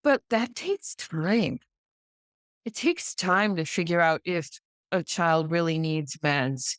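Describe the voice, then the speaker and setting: A middle-aged American woman with a fairly low, clean voice recorded very dry. She speaks conversationally as an interview guest. She drives her points home with emphatic repetition and pointed questions. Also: animated, slow, no room sound. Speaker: podcast guest, pediatrician.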